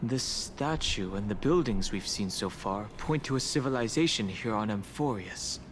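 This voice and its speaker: A man playing a calm young traveler in a video game, speaking in a measured, thoughtful way. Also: on the low side, noisy background, close to an everyday voice. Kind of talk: video game dialogue acting.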